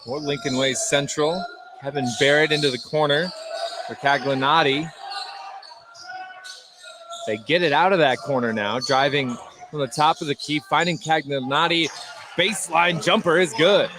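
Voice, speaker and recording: A man with a slightly high voice gives live basketball play-by-play. He follows the action in clipped, descriptive phrases. Clear gym noise and bouncing balls sit behind him. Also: normal speed, pauses often. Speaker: play-by-play announcer. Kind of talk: live basketball radio commentary. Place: indoor basketball gym, courtside broadcast. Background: loud gym ambience, basketball bounces.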